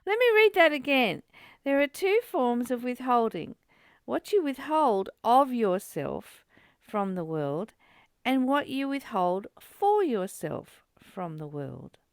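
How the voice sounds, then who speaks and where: A woman with a creaky, gravelly edge to an otherwise clear voice leads a spiritual book study. She reads and explains deliberately at a slow pace, recorded close and dry. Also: fairly high pitch, sing-song, no echo. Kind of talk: reading aloud and informal teaching commentary.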